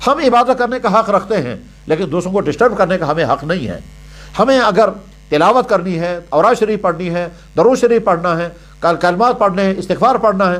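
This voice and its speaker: A man giving religious guidance in a didactic, emphatic way, repeating points in parallel phrases. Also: sits high. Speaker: Islamic scholar answering religious questions. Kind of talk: religious question-and-answer sermon.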